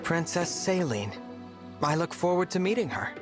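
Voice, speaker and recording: A man playing a gentle, earnest young divine hero in a video game, soft-spoken and formal in manner. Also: high-pitched, lively intonation, normal speed, music in the background. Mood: thoughtful, sincere. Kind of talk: scripted video game voice acting.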